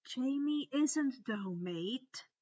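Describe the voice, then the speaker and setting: A woman's voice: sits high, animated, extremely slow, a little mellow, dull audio, heavily acted. A woman voicing a loyal, cautious teenage boy sidekick in a storybook reading, wary and concerned. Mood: sad.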